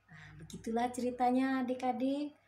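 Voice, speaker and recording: A woman gently and expressively narrating a fairy tale for children. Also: high voice, fairly even intonation, normal speed, reverberant, slight background noise. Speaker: children's storyteller. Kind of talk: bedtime story narration.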